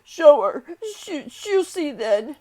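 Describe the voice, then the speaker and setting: A woman's voice: high voice, very animated, takes it slowly, darkish tone, voice pushed up, exaggerated voice. A woman reading a storybook, voicing a sickly young boy in hysterics, gasping and wailing between sobs. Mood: gasping defiance.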